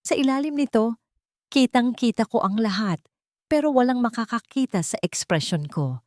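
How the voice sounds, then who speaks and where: A woman's voice: on the high side, lively intonation, moderate pace, darkish tone, no room sound. A woman narrating a scripted first-person dramatic story as an audiobook reader.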